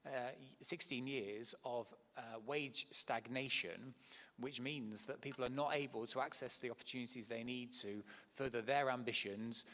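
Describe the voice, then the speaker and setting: A man speaking earnestly on a symposium panel, in a steady way with some hesitations. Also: medium pitch, in a large hall.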